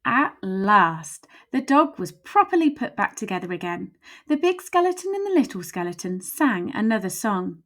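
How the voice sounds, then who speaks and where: A woman reads a children's story aloud in a medium-pitched voice. Her delivery is expressive, leaning on repeated words and building suspense through her phrasing. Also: normal speed.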